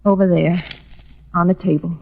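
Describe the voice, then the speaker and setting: A woman's voice: on the low side, fairly even intonation, normal speed, close to an everyday voice. An actress playing an anxious, defensive secretary in a 1950s radio drama, hesitant and earnest as she pleads. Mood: pleading, resigned. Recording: noisy, dull old radio recording, clicks.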